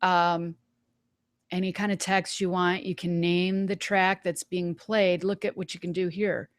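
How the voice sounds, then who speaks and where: A woman hosting a webinar, giving a casual live software demonstration in an informal, folksy way, thinking aloud. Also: on the low side, level tone, a little slow, no echo. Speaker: webinar host.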